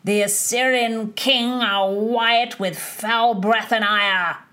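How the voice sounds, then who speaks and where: A woman's voice: on the high side, fairly even intonation, slow pace, pushed and bright, voice pushed up. A woman voicing a vain, drunken young male earl and poet, declaiming grandly in a storybook reading.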